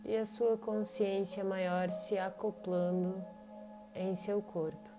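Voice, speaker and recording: A woman with a Brazilian accent leads a guided meditation in short, unhurried phrases separated by long pauses. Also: mid-range voice, level tone. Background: meditation music with singing bowls.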